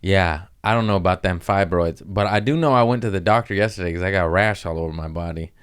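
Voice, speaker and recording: A man's voice: sits low, fast talker, clear, resonant tone. A man hosting a casual comic podcast, telling rambling stories in slangy, profanity-heavy talk. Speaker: podcast host.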